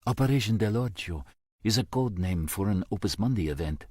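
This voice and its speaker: A man's voice: fairly low pitch, expressive, fairly quick, a little mellow, breathy edge, no echo, clean studio sound. A man voicing an anxious middle-aged priest who speaks earnestly and apologetically. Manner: earnest, pleading.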